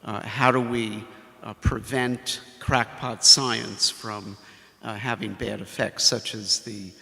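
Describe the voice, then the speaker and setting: A man, a professor, speaking at a ceremony in a halting way full of fillers. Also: lowish voice, level tone, creaky, pushed and bright. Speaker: professor, faculty mentor. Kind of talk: ceremony speech. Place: library ceremony hall. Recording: long hall reverb.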